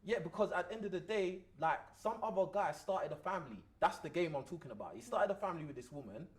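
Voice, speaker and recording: Blunt London man with a higher voice. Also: lively intonation, fast talker. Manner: blunt, slangy, opinionated. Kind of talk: casual debate.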